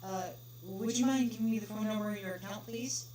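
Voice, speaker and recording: A woman's voice: mid-range voice, brisk, a crowd in the background, pitched above the performer's own voice, lightly acted. A woman voicing a polite male pony call-center agent with an apologetic customer-service tone. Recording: echoey room with noisy hum.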